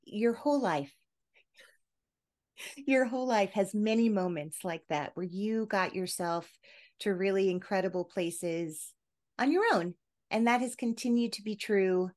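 A woman speaks as a podcast host with a medium-pitched voice. She is effusive and full of appreciation, and talks in a conversational, personal style. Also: measured pace, a little mellow. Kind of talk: warm-worded interview chat and show sign-off.

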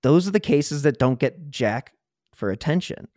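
A man's voice: on the high side, expressive, normal speed, dark tone, no echo, clean studio sound. A man hosting a true crime podcast, talking casually in an earnest, opinionated and colloquial way. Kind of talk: casual conversational interview talk.

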